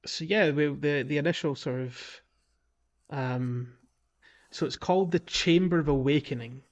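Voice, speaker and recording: A man co-hosting a podcast, chatting casually about a video game in a halting way, often restarting his sentences. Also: fairly high pitch, highs cut off. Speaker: podcast co-host.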